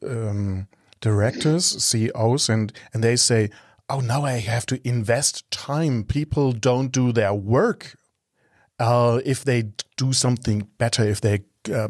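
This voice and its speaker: A man hosting a conversational interview, speaking in halting, hesitant phrases. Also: mid-range voice, a little mellow, no echo, clean studio sound. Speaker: podcast host, interviewer.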